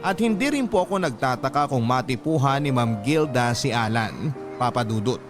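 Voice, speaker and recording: A man reading a listener's letter as a radio host, in measured, earnest and polite dramatic narration. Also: medium pitch, animated. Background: background music.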